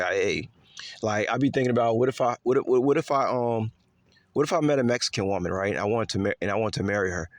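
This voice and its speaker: A man talking in a casual, rambling monologue to his viewers, repeating himself with lots of fillers and letting his voice swing higher and lower. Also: no echo. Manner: pitch swings up and down. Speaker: vlogger.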